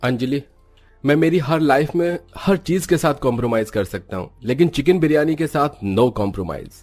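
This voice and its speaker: A man's voice: fairly high pitch, lively intonation, moderate pace, a little mellow, soft background music. A man voicing an easygoing young food-loving boyfriend in a cartoon story, casual and breezy.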